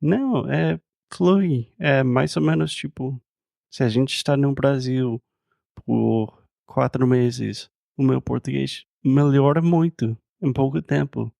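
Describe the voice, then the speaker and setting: American guy speaking learner portuguese, super gravelly and dark, slow, fillers everywhere, dry mic. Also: medium pitch, dry recording. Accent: American English. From United States. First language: English. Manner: slow, searching for words, says "tipo" often. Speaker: podcast co-host. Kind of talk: casual conversational chat.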